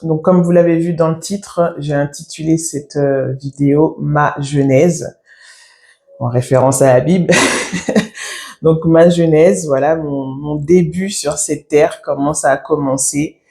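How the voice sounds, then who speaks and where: Halting woman in her thirties giving testimony. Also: deep voice, steady tone, mellow. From France. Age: 30s. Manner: halting, reflective, many pauses. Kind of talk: personal faith testimony. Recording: echoey room.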